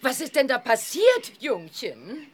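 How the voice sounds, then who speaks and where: A woman's voice: high voice, huge pitch swings, a little slow, slightly rough, heavily acted. A woman playing a gushing, adventurous female reporter, breezy and theatrical, in a children's audio drama.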